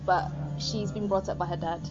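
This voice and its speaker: A woman's voice: medium pitch, fairly even intonation, moderate pace. A woman in her twenties from Singapore, speaking casually and hesitantly in an interview with many fillers. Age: 20s. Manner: hesitant, lots of ums and likes. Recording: dull lo-fi sound with loud music.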